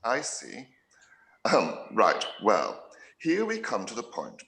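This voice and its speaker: An actor playing a long-suffering gentleman father in a period radio play, hesitant and drily understated. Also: fairly low pitch, wildly swooping pitch, slow pace, gravelly, reverberant, exaggerated voice. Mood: dry, wry. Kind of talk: period drama live-read radio play.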